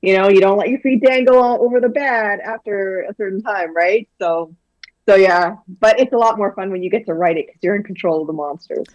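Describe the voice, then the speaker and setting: A woman's voice: mid-range voice, a little fast, dry recording, dull audio. Eager woman author from Guyana. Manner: animated, enthusiastic, many fillers and restarts. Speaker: children's book author, guest. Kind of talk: casual interview chat.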